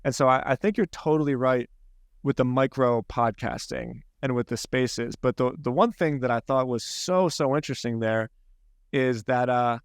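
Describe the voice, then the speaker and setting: A man's voice: medium pitch, moderate pace, clean tone, dry recording, clean studio sound. A man with a Philadelphia accent, hosting a podcast in casual, rambling conversation with frequent restarts. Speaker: podcast host.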